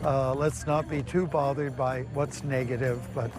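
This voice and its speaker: A man's voice: medium pitch, fairly even intonation, unhurried, over music. A man taking part in a street festival, explaining its meaning in an interview with a few hesitations. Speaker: festival participant.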